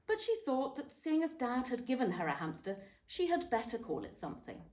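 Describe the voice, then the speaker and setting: A woman reading a children's book aloud as a storyteller, expressively and dramatically, with emphatic pauses and stressed words. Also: sits high, sing-song, darkish tone. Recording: echoey room.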